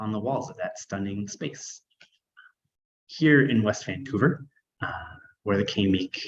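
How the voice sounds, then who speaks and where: Low-ish guy hosting an online art talk, lots of uhs, dull muffled sound. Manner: hesitant, frequent filler words. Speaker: arts council host. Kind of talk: live online artist talk.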